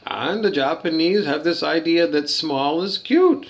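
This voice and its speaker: A man's voice: on the high side, measured pace. A man ranting in a rambling, hesitant way, repeating himself and swearing when riled. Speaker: hotel guest reviewing his loot bag. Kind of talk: rambling complaint rant. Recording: dull lo-fi recording.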